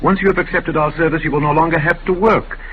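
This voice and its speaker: A man's voice: on the high side, steady tone, fast, close to an everyday voice. A man playing a polite, formal humanoid robot servant who courteously insists, in a classic radio drama. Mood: calm, assured. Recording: muffled, noisy vintage radio recording.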